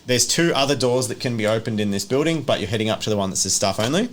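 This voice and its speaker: A man's voice: mid-range voice, fast talker, a little hiss or hum. A man narrating a tabletop horror roleplay game as the game master.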